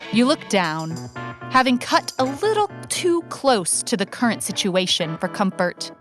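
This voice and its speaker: Expressive woman narrating a story over music. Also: medium pitch. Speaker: author reading own short story. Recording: music bed under the reading.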